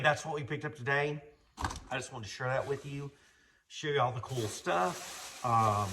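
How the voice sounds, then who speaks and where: A man's voice: medium pitch, a bit bright, a little hiss or hum. A man hosting a casual show-and-tell vlog, talking in a folksy, rambling, enthusiastic way. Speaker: vlog host, vintage garage restorer.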